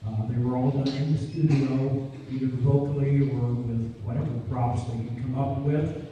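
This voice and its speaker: Older male stage host, very soft dark tone, folksy and halting, heard from afar in a reverberant hall. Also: mid-range voice, fairly even intonation, highs cut off. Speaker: host introducing a stage show. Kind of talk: informal spoken introduction. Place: college stage in a large hall. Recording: echoey hall from far off, faint noise.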